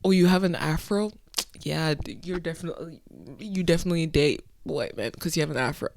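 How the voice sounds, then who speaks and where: A woman talking to her camera late at night, incredulous and exasperated, swinging between soft muttering and sudden loud outbursts. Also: low-pitched, fairly quick, gravelly. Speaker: vlogger. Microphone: phone or camera close up.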